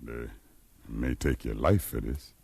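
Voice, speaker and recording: An actor playing a grave, determined leader of a slave revolt, giving commands in a 1950s radio drama. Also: male voice, extremely low pitch, slow pace, vocal fry, darkish tone, breathy edge, no room sound, exaggerated voice. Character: resolute rebel slave leader, urgent and grave. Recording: old radio recording with music.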